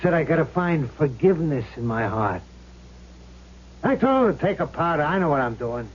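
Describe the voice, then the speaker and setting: A man's voice: on the high side, sing-song, normal speed. A man playing the cocky, vengeful ghost of a gangster gambler, tough and slangy, in an old-time radio drama. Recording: dull 1970s radio recording, hiss.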